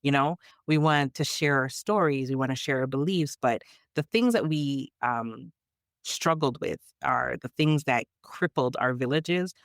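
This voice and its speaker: A woman's voice: extremely low pitch, a little slow, no echo, clean studio sound. A woman from Canada chatting on a podcast as a storyteller guest, emphatic and reflective in how she talks. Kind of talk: conversational podcast chat.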